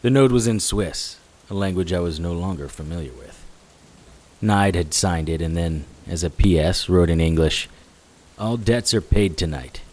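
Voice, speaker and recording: An actor playing a hard-boiled investigator, narrating a noir audio drama in a dry, wry, tough and vengeful way. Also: male voice, low-pitched, moderate pace, darkish tone. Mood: terse, weary. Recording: hiss with rain sounds behind. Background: rain.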